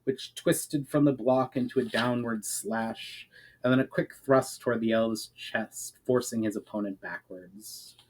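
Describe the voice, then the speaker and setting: A man's voice: medium pitch, unhurried, low-quality recording. A man giving an earnest dramatic reading of a fantasy story, phrase by phrase, letting his line endings fall. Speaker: author reading own story chapter aloud.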